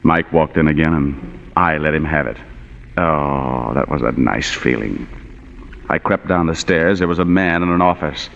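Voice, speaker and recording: A man's voice: extremely low pitch, moderate pace, creaky, a bit bright, full character voice. An actor playing a tough, wisecracking male private detective in 1940s radio noir, with sardonic, clipped delivery. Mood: weary, hardboiled. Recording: muffled vintage radio recording with music.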